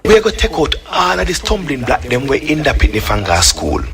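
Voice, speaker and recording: A man with a Jamaican Patois accent reading a scripted narration of a political manifesto, in a measured, lively delivery, played back on radio. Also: fairly high pitch, gravelly, a crowd in the background. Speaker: narrator of a party manifesto clip. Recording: radio broadcast playback.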